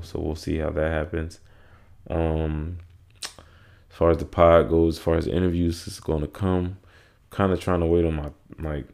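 Stuffed-up young guy podcasting solo, super low voice, rambly, lots of um and like. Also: gravelly, mellow. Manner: rambling, many fillers and restarts. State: congested, sore throat. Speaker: podcast host. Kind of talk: casual solo podcast chat.

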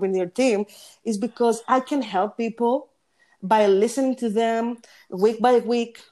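A woman with a deep, gritty voice, a singing competition judge talking in a casual interview and pausing often on fillers. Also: warm tone. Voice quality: deep voice with grit. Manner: hesitant, pauses with fillers.

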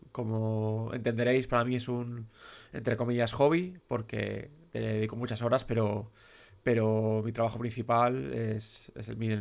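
A man's voice: medium pitch, creaky. A man in his thirties hosting a radio show, nasal and congested from a cold, rambling with many fillers and restarts. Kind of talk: casual radio talk.

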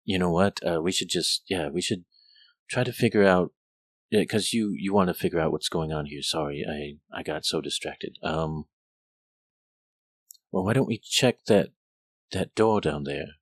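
A man putting on a flustered female scholar, stammering and lost in her studies. She trails off and restarts as she thinks aloud, her voice a touch higher than his own low one. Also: normal speed, no echo, studio quality. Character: excitable female scholar absorbed in glyphs.

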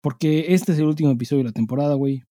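A man's voice: on the high side, very fast, mellow, no echo, clean studio sound. A Mexican man co-hosting a podcast in casual chat, swearing freely and speaking with emphasis in heavy slang. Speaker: podcast co-host.

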